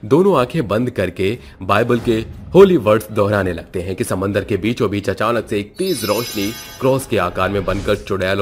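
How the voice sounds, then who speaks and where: Low-voiced male cartoon narrator. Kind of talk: scripted storybook narration.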